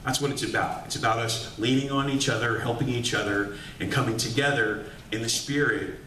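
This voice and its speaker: A man's voice: medium pitch, fairly even intonation, a little fast, sharp tone. Enthusiastic coach guy talking to a room, keeps asking "right?", sounds far off and echoey. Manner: upbeat, calls to the audience with "right?". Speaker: executive coach giving a workshop talk. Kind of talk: lively motivational workshop lecture. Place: meeting room with an audience. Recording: echoey room recorded from afar with faint hiss.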